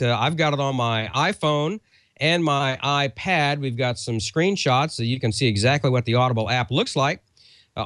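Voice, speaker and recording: A man's voice: on the high side, a bit bright, no room sound. A man co-hosting a show, giving a casual, conversational sponsor read that rambles a little. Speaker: show co-host.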